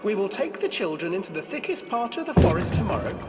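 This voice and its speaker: Man voicing a shrill, cruel fairy-tale wife. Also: big pitch swings, quick pace, background noise. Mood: scheming. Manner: scornful, insistent. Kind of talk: storybook character voice in a video game. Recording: echoing hall, distant, music behind.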